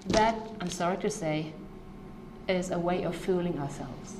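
A woman's voice: fairly low pitch, unhurried, reverberant, noisy background. A woman from Norway, a native Norwegian speaker, giving an earnest, emphatic conference talk on ministry to children.

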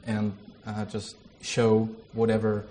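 Hesitant Czech-accented man, low flat voice. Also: takes it slowly, slight background noise. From Czech Republic. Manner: hesitant, many fillers, self-deprecating. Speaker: theology lecturer leading a workshop. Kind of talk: informal workshop talk with a prayer.

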